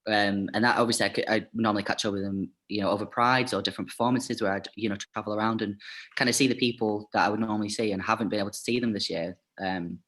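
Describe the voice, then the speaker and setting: A man's voice: on the low side, fairly even intonation, fast talker, a bit bright. A man chatting as an interview guest, talking casually with lots of fillers and "like".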